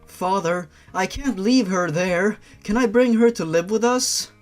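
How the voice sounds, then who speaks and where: A man's voice: sits high, unhurried, faint music behind, voice pushed up. A man voicing an earnest, devoted daughter in a read-aloud story, formal and pleading. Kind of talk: story reading in character.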